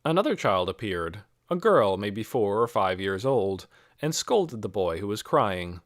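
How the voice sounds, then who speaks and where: A man reading a children's novel as scripted audiobook narration, in an expressive storytelling manner. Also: lowish voice, a little slow, no echo, clean studio sound.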